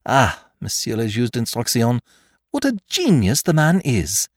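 A man reading aloud and voicing an excitable young male amateur detective who shouts with wonder and admiration. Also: fairly high pitch, unhurried, no echo, studio quality, voice pushed up, full character voice. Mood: excited. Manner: feverish, exclamatory, admiring.

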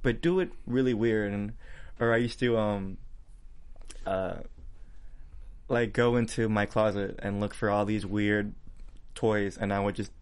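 Laid-back, lower-voiced male musician. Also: fairly even intonation, unhurried, pauses often, clean tone. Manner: loose, rambling, full of fillers and restarts. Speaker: guest musician. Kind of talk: casual interview chat.

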